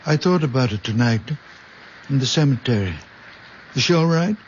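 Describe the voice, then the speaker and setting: A man's voice: medium pitch, expressive, moderate pace, slight background noise, lightly acted. Man acting dreamy old father, muffled radio. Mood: quietly musing. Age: older. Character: dreamy, childlike old father, blunt and stubborn. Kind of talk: 1970s American radio drama acting.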